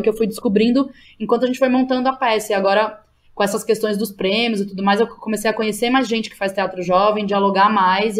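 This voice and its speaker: Informal Brazilian woman, slangy podcast guest. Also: mid-range voice. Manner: informal and slangy, frequent tag questions. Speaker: interview guest, playwright and theatre director. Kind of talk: casual podcast conversation.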